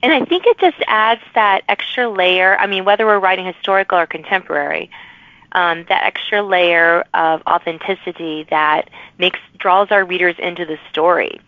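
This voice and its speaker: A woman's voice: fairly low pitch, moderate pace, no echo, muffled recording. A woman hosting a podcast, talking in a casual, chatty, informal way with emphatic asides. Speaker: podcast host. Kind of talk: casual interview chat.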